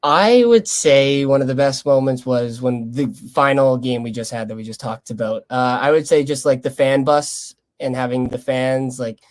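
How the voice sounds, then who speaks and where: A man's voice: medium pitch, moderate pace, hardly any pauses. Young Canadian man, earnest and conversational. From Lethbridge, Canada. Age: young adult. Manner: earnest, loose, conversational phrasing. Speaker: college baseball player being interviewed. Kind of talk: casual interview answers.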